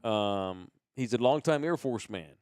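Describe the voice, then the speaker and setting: An American man hosting a podcast with casual banter and sponsor shout-outs, talking in a slangy, rambling, profane way. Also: on the low side, animated, measured pace, smooth, no room sound, studio quality. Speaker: host of a live podcast.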